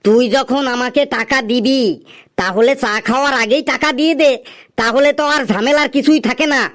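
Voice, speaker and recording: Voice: sits high, a little fast, fairly bright tone. An actor playing a grumpy, stubborn tea stall keeper in a comedy cartoon, scolding and insistent. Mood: wary, insistent.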